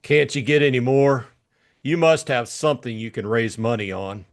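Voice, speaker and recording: A man's voice: medium pitch, normal speed, lightly acted. Man playing a blunt hard-boiled detective. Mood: brisk, demanding. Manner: blunt, impatient. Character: hard-boiled, irritable male private detective, gruff and commanding. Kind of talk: audiobook drama acting.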